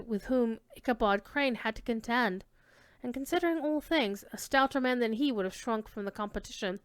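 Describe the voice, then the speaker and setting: A woman giving an expressive dramatic reading of classic literary narration, with emphasis on key words. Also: a little high, smooth, dry recording.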